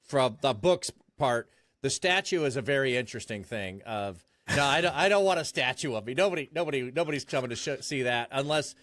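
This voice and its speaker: A man's voice: medium pitch, lively intonation, fairly quick, fairly bright tone, no room sound, studio quality. A man talking in an easygoing, chatty and self-deprecating way as a guest in a casual conversation. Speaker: streamer guest.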